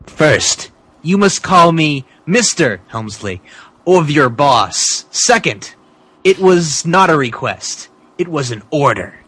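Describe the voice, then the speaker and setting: A man's voice: fairly high pitch, very animated, fairly bright tone, husky, dry recording, voice pushed down, full character voice. A man voicing an arrogant, scheming wrestling boss who gives imperious orders in a mock-dramatic story reading. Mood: domineering. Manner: imperious, drawn-out commands.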